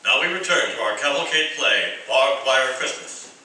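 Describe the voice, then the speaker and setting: A man's voice: mid-range voice, expressive, normal speed, sharp tone. A man announcing a radio play in a formal vintage broadcast style. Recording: very echoey hall, distant mic.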